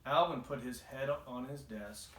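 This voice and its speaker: A man's voice: mid-range voice, measured pace, a bit bright. A man reading a children's storybook aloud as the narrator. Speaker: storybook reader. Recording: echoey, roomy home recording.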